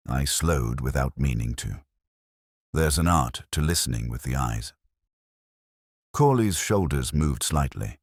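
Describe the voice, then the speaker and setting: A man playing a brooding, observant detective inspector, narrating a mystery story in a measured, reflective, literary way with deliberate pauses. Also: rumbling low, dry recording, clean studio sound, heavily acted. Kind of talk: scripted first-person detective story narration.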